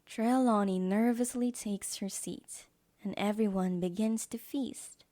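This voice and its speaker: A woman's voice: medium pitch, steady tone, unhurried, warm tone. A woman giving scripted narration for an audio drama as its storyteller.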